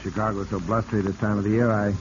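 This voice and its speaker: Guy doing a slick calculating blackmailer from 40s radio, lowish voice, faint hiss on the recording. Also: little pitch movement, fast talker, lightly acted. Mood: charming. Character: smooth-talking, calculating male swindler and blackmailer. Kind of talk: 1940s radio drama acting. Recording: dull, noisy vintage radio recording.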